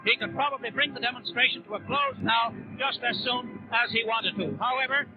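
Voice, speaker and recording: High-pitched man, 1930s radio commentary. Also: fairly quick, over music. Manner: eloquent, formal, descriptive phrasing. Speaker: convention radio reporter. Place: on the platform in a large convention hall. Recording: dull 1930s radio broadcast, background sound.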